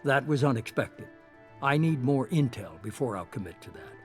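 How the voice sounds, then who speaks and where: An actor playing a shadowy, calculating middle-aged leader in a sci-fi game, speaking with measured, composed authority. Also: male voice, mid-range voice, expressive, faint music behind, close to an everyday voice. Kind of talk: cinematic sci-fi game dialogue.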